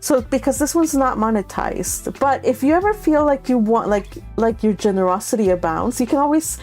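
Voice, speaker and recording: A woman's voice: on the high side, lively intonation, moderate pace, darkish tone, soft background music. A woman hosting a live read-along, chatting casually and affectionately with her viewers, giggly and informal. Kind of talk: casual livestream chat with viewers.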